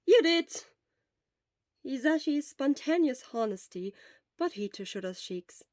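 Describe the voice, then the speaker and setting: A woman narrates scripted fiction as an audio story reader. Her voice sits fairly high. The recording is dry, with hardly any room sound. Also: lively intonation, moderate pace, darkish tone, no echo. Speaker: podfic narrator.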